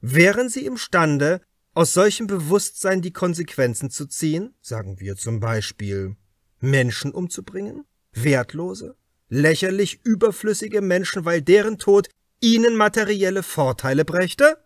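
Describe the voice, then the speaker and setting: A man's voice: high-pitched, expressive, unhurried, no room sound, voice pushed up. A male reader plays a shrewd detective from a crime audiobook. He speaks formally and insistently, in a raised, emphatic voice, recorded dry and close. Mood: probing, insistent. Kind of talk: audiobook character dialogue.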